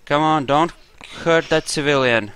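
A man's voice: a little high, a little slow, a bit bright, slight background noise. A man giving casual, role-played gameplay commentary, informal and sweary, often muttering to himself as he thinks aloud. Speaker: let's play host.

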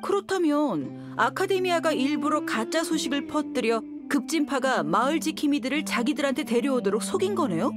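An actress playing a confident, decisive mercenary woman in a video game, assured and blunt. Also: sits high. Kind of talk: game character dialogue. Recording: music behind the voice.

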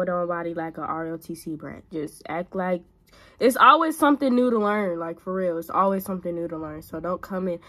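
Chatty young woman giving blunt advice. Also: fairly bright tone. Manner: chatty, blunt, big-sister advice, swinging pitch. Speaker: vlogger. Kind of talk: casual chat while doing makeup. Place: small bedroom, indoors. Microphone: phone camera at arm's length.

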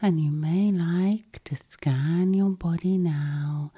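A woman gently guiding a sleep hypnosis session with breathy, whispery, calming ASMR delivery. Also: lowish voice, slow.

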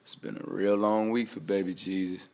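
A man's voice: lowish voice, level tone, moderate pace, very noisy. A man giving a matter-of-fact voice-over recap. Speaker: narrator. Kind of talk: voice-over narration.

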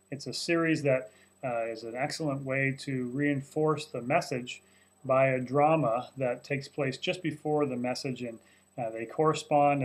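A man in his forties, a pastor, recapping a sermon to camera from a small office in an earnest, conversational way with many fillers. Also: mid-range voice. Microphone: webcam at desk, close framing.

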